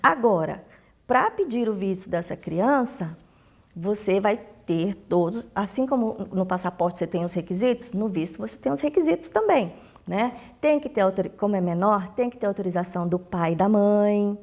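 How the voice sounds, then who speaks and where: A woman's voice: mid-range voice, lively intonation, moderate pace, a little mellow. A woman with a Brazilian Portuguese accent explaining rules emphatically as a consular official, in informal interview answers with acted-out anecdotes. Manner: emphatic, repeats key points, acts out dialogues.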